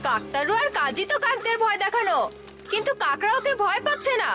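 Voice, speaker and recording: A woman voicing a plucky, energetic young boy hero in a cartoon, with urgent exclamations. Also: extremely high pitch, fast. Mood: worried. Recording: loud music bed under voice.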